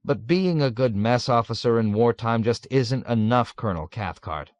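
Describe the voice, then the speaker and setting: A man's voice: medium pitch, animated, normal speed, no room sound, natural voice. A man doing a meek, sly young officer. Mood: demure. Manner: demure, soft, quietly insistent.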